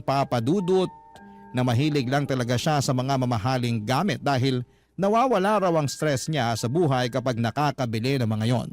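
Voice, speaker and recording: A sincere Filipino man, voiced by an actor, narrates his love story in a mid-pitched voice. He sounds confiding and wistful, radio drama style, over faint music. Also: natural voice. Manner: confiding, earnest.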